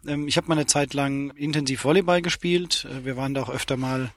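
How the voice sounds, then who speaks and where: A man's voice: medium pitch, steady tone, a little fast, no echo. A man from Saarland, a company founder, answering interview questions hesitantly with many fillers, inside a car. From Saarland, Germany. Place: inside a parked car at an expo.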